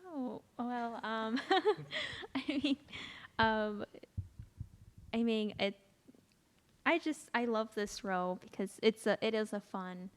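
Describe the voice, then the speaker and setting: A woman speaking casually and hesitantly as an actor in a group discussion, with a modest, giggly manner. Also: medium pitch. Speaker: actor in a play. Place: room in a library. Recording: reverberant room recording.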